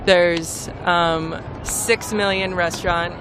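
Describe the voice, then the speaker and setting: A woman in her forties from Maine, a restaurant server speaking bluntly and forcefully in a street interview at a protest march. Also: fairly low pitch, steady tone, slow pace, music in the background, rough recording. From Maine, USA. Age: 40s. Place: outdoor city street march. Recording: loud street noise outdoors.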